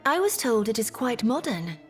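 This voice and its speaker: A woman's voice: a little high, big pitch swings, moderate pace, soft background music. An actress playing a polite, anxious young Victorian lady, earnest and apprehensive, in a period audio drama. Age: young adult. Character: anxious, polite young Victorian bride-to-be fearing her stepfather.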